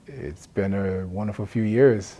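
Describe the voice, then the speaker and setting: A middle-aged man from Liberia gives a personal testimony in a halting, plain-spoken way, often adding "you know". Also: fairly low pitch, warm tone. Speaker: addiction recovery centre support worker. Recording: noticeable background noise.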